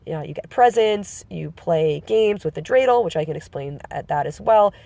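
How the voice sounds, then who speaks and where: A woman with a slight cold talks to her live-stream viewers in a somewhat low voice. She explains at an easy pace, halting and self-correcting as she goes, and the sound is close and dry with no room around it. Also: animated, creaky, dark tone, dry recording.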